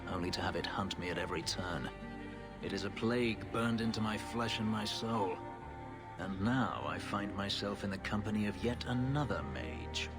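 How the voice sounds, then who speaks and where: Deep-voiced brooding elf fugitive. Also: male voice. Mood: bitter. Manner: formal, grave, measured speech. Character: brooding escaped elf slave, bitter, guarded fighter. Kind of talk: dramatic video game acting. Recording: music playing behind the voice.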